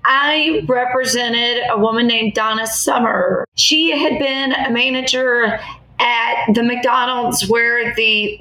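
A woman with an American accent answering interview questions as an attorney, in a measured, explanatory conversational way. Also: on the high side, pushed and bright. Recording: echoey, roomy sound.

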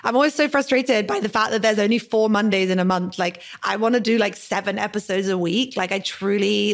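Host: woman, casual podcast talk, gushing and sweary, even middle pitch. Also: creaky. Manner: effusive, sweary, repeats "like" often.

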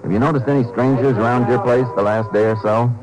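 An actor playing a polite, determined Texas Ranger with an American accent, speaking in measured, laconic 1950s radio drama style. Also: male voice, medium pitch, steady tone, over music, lightly acted, rough recording. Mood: polite, official. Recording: old 1950s radio broadcast.

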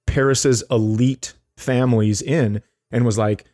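A man's voice: mid-range voice, steady tone, a little slow, dark tone. Rambling middle-aged man telling comic stories. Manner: rambling, jokey. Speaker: comedy podcast co-host. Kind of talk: casual comic storytelling.

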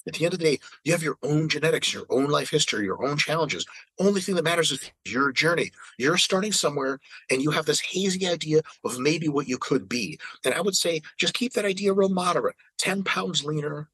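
A man's voice: fairly high pitch, expressive, brisk, fairly bright tone, breathy edge, dry recording, poor audio. A man in his late thirties in casual podcast chat, ranting with emphatic, profane energy. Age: 30s. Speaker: fitness podcast regular.

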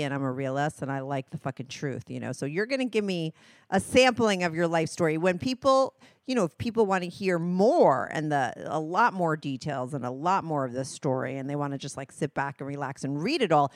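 A middle-aged woman hosting an interview, her voice on the lower side for a woman. She talks candidly and bluntly, laughs easily, swears, and sounds close and dry. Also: dry recording. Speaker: podcast host. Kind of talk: casual interview chat.

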